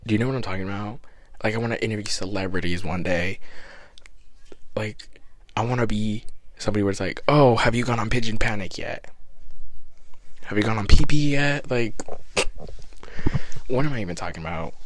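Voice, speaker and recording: Raspy guy in his 20s rambling on his own podcast, lowish voice, kinda out of breath, dry close mic. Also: moderate pace, pauses often, no echo. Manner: rambling, self-deprecating, easily sidetracked. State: slightly out of breath. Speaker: podcast host. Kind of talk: casual solo podcast chat. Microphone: podcast microphone.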